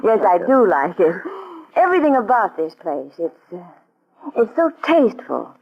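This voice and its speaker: A glamorous woman of mystery, coy and soft-spoken. She uses a mid-range voice in a vintage radio play. The recording is dull and lo-fi. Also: mid-range voice, big pitch swings, normal speed, husky. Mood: charming. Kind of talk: 1940s radio acting. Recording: dull old radio broadcast.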